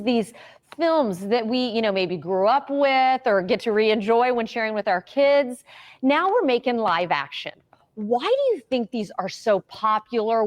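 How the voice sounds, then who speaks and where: A bubbly woman hosting a morning television show, her voice sitting a little high and bright. She is recorded close and dry in the studio, with no room sound around her, and she keeps her questions light and quick with casual asides. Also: dry recording. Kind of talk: upbeat TV interview.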